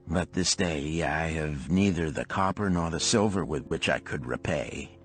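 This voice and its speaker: A man's voice: very deep voice, unhurried, faint music behind. Meek, mumbling young debtor, voiced by a man. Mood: meek, apologetic. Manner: weak, apologetic mumbling. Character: timid, hungry, penniless young male debtor. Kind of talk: audiobook parable character voice.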